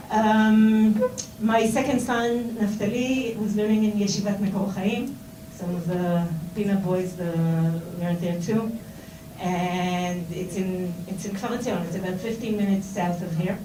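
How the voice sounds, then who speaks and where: A woman's voice: medium pitch, fairly even intonation, slow, mellow. A middle-aged Israeli woman giving an informal talk to seminar students, with a Hebrew accent in her English and many fillers and restarts. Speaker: speaker at a seminar. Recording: roomy and distant, with noise.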